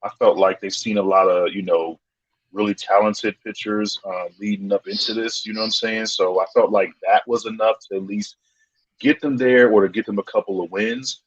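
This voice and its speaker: A man's voice: fairly low pitch, normal speed, breathy edge, dry recording, dull audio. A man co-hosting a sports talk show, casual and slangy, often acting out what players might be thinking. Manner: animated, slangy, acts out players' thoughts.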